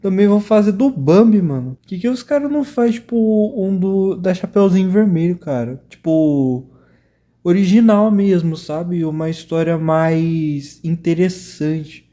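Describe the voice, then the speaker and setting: Reaction commentator: Brazilian male, very soft-edged dark voice, loose conversational delivery. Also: high-pitched, unhurried. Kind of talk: casual reaction chat.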